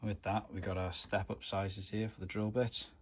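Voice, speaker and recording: A man's voice: on the low side, little pitch movement, moderate pace, slightly rough. A man giving a casual, matter-of-fact hands-on car tutorial, explaining things step by step in informal words. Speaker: DIY car mechanic vlogger.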